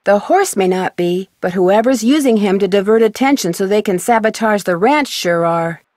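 An actress playing a polite, persistent young amateur detective in a video game, speaking earnestly and precisely. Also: female voice, medium pitch, lively intonation, normal speed, a little mellow, no room sound, studio quality, lightly acted. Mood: earnest, insistent. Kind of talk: video game character dialogue.

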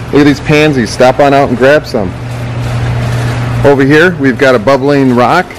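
A man gives an upbeat, salesy tour of a big greenhouse nursery. He holds the camera at arm's length as he walks. A faint hum of background noise sits under his mid-pitched voice. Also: normal speed, rough recording. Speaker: business owner and channel host. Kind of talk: walking tour vlog. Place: large greenhouse nursery, indoors.

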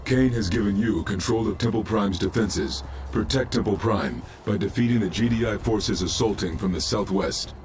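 An actor playing a calm, authoritative military announcer in a video game, giving clipped status reports. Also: male voice, lowish voice, moderate pace, slight background noise, natural voice. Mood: impassive, authoritative. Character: calm male military battlefield announcer computer for a militant faction. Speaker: mission announcer. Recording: loud music and battle sounds behind. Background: loud game music, vehicle sounds.

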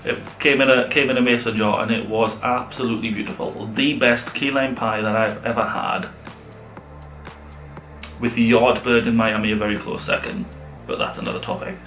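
This is a man chatting casually in an enthusiastic way, as if to a camera from the sofa. His voice has the echo of a living room around it. Music plays clearly behind him. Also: mid-range voice, steady tone, moderate pace, a bit bright. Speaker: vlog co-host reviewing restaurants. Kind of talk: casual sit-down chat to camera. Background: background music.

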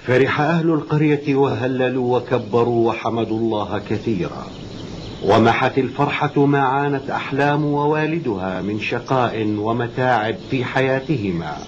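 A man's voice: medium pitch, moderate pace, lightly acted. A man delivering scripted storybook narration as the narrator of a cartoon. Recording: dull audio with faint noise.

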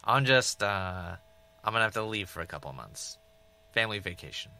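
A man's voice: fairly low pitch, sing-song, fairly quick, pushed and bright, smooth, no room sound, exaggerated voice. An actor playing a joking, evasive male podcaster in naturalistic, bantering audio drama dialogue.

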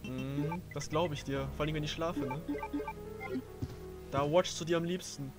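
A man's voice: fairly high pitch, steady tone, moderate pace. Young man joking over game music. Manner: joking, slangy and crude. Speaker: let's play host, playing the game. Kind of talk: casual gaming commentary. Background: video game music.

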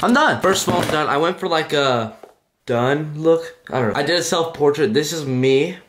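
A man in his twenties with a stuffy, congested voice, talking casually and jokingly to camera in a deadpan, goofy way. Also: fairly high pitch, moderate pace, over music. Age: 20s. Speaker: YouTube vlogger, custom artist. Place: indoors, room at home.

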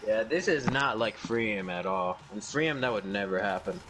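A man's voice: fairly low pitch, expressive, normal speed, music in the background. A young man chatting casually over a video game, teasing and swearing as he goes. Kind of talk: casual gaming banter.

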